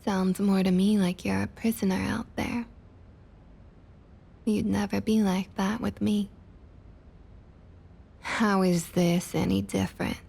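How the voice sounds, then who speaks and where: A woman playing a smug, opportunistic vampire council member in an ASMR roleplay, with a soft breathy Southern US accent and coaxing, condescending sweet talk. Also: medium pitch, flat delivery, slow pace, long pauses, full character voice. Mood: persuasive, condescending. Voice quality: soft, breathy, slightly husky. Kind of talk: ASMR roleplay, intimate scripted monologue.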